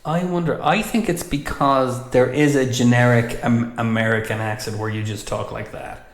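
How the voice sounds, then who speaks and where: Flat-voiced Irish man in echoey room. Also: medium pitch, normal speed. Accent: neutral Irish. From Ireland. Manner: thoughtful, hesitant with fillers. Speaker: host. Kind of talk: casual chat. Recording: roomy echo.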